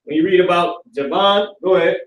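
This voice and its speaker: Young guy lecturing a class, rough street talk, kinda higher voice, roomy and far from the mic. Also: a little fast, dull audio. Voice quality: loose, profane, confrontational street talk. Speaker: class teacher. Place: classroom with stage and banners, indoors. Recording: echoey room, voice from a distance.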